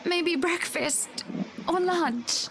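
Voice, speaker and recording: A woman's voice: very high voice, drawn-out words, a bit bright, a little hiss or hum, exaggerated voice. A woman playing a proud, wary young wife in a visual novel, cool and commanding in her scripted delivery. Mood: uneasy.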